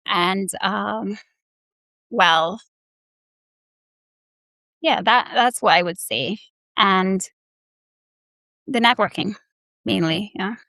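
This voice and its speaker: A woman's voice: medium pitch, drawn-out words, gravelly, pauses often, pushed and bright, dry recording, rough recording. Earnest woman from the Caribbean. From Bahamas and Barbados. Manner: earnest, hesitant with many fillers. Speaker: guest, anesthesiology resident. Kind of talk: interview answers.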